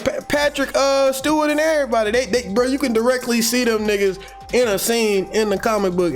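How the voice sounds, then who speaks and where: An American man giving casual, unscripted fan commentary in a slangy, rambling, excitable way, like an online video creator. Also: fairly high pitch. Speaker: YouTube commentator on comics and animation. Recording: music playing behind the voice. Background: background music.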